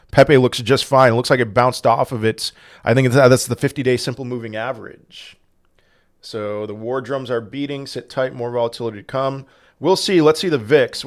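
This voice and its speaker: A man hosting a casual crypto livestream, reading chat questions aloud and answering them in a rambling way with frequent restarts. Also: medium pitch. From Portugal. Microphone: desk microphone.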